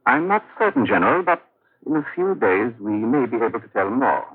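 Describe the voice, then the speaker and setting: A nervous doctor with a secret in a vintage radio play. His voice is husky and very animated, hesitant and evasive in its phrasing. The recording is muffled and aged. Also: medium pitch, brisk. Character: nervous male doctor, secretly a foreign agent. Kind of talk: 1950s radio drama acting.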